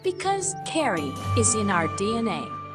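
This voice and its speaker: A woman's voice: mid-range voice, wildly swooping pitch, normal speed, voice pushed up. A performer voicing the woman founder of an organic company in a scripted game cutscene, speaking sincerely. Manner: sincere promotional delivery. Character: warm female founder of an organic food company. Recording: music bed under voice.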